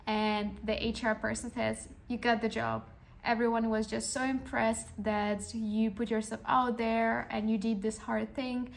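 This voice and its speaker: Young woman podcaster, kinda even tone with lots of fry, earnest pep-talk storytelling. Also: on the high side. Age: young adult. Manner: earnest, reflective, motivational. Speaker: podcast host. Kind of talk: personal storytelling monologue.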